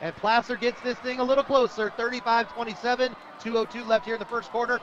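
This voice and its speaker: An American man doing live play-by-play of a football game as a radio announcer, excitable on the big plays. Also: very high voice, quick pace. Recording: dull lo-fi radio sports broadcast.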